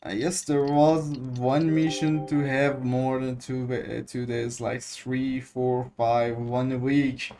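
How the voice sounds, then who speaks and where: A man giving casual gameplay commentary in a hesitant, halting, rambling way. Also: medium pitch, flat delivery, warm tone, a little hiss or hum.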